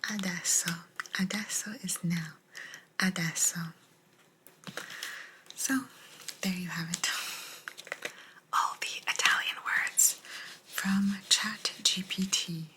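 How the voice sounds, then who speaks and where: A woman giving a language lesson in a soft, breathy ASMR whisper, gently repeating words. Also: fairly low pitch, steady tone. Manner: slow, gentle repetition of words. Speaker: host teaching Italian vocabulary.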